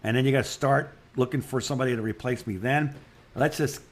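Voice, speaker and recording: A man's voice: medium pitch, fairly quick. Rambling older man chatting casually. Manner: rambling, repeats phrases and restarts sentences. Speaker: podcast co-host, retired radio broadcaster. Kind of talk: casual chat, telling anecdotes.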